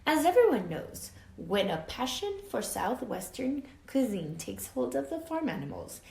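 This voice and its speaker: Animated woman reading a storybook, roomy sound. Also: fairly high pitch, unhurried, creaky. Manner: expressive, with dramatic emphasis and hushed asides. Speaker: teacher reading a picture book aloud. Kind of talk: animated children's read-aloud with questions to listeners. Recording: roomy echo with faint background noise.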